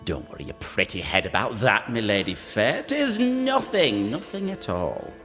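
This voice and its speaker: A man voicing the flirtatious, deluded ghost of a sickly, lovesick gentleman in a theatrical, singsong video game performance. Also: lowish voice, sing-song, normal speed. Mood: defensive, dismissive. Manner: theatrical, singsong, repeating phrases. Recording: long echoing reverb, music underneath.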